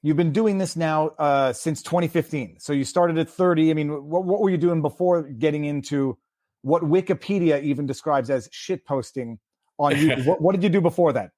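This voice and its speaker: A man's voice: a little high, moderate pace, a little mellow, dry recording, studio quality. A man from Quebec hosting a livestream interview, chatty and rambling, firing off many questions. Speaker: host.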